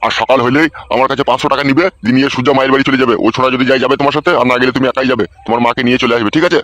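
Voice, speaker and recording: A man's voice: medium pitch, steady tone, racing, fairly bright tone, no echo, close to an everyday voice. A man voicing a crude, bossy father-in-law in a comic cartoon, cursing and giving orders. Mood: firm, advising. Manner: gruffly cursing, commanding. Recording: dull, lo-fi sound.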